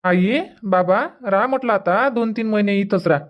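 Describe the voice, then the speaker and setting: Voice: medium pitch, normal speed, dull audio, lightly acted. A voice actor playing a sarcastic village husband and dutiful son in a cartoon comedy, speaking insistent, emphatic rural Vidarbha Marathi. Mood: persuading. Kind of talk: cartoon comedy dub dialogue.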